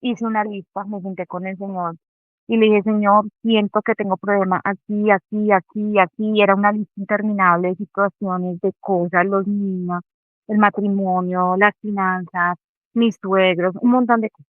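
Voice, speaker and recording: Woman talking about her faith over a video call, thinky and halting, tinny phone-like sound, dry. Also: mid-range voice, level tone, no echo. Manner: earnest, reflective, halting. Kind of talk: personal faith testimony in an online meeting.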